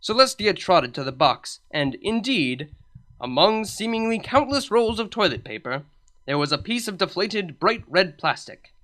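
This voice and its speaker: A woman reading comedic fan fiction aloud in an expressive storybook narration. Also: mid-range voice, normal speed.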